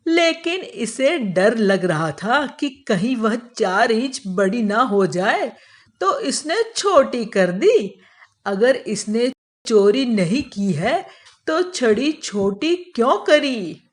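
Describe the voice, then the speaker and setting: A woman acts out a self-assured royal adviser from a children's moral cartoon. The voice is high and very expressive in pitch. Explanations come slowly and deliberately, with calm authority. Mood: explaining.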